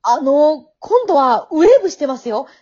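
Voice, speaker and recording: Very high-pitched, polite young woman played by an actress. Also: sing-song, normal speed, a little mellow, highs cut off. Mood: bemused. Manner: polite, matter-of-fact remarks. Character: polite, frank young woman contestant, calmly puzzled and observant. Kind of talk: amateur short radio drama acting.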